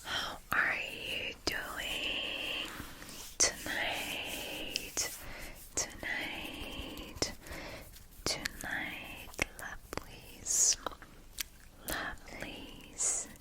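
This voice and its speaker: A woman whispering breathily as an ASMR host, gently guiding relaxation and repeating words and phrases. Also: drawn-out words.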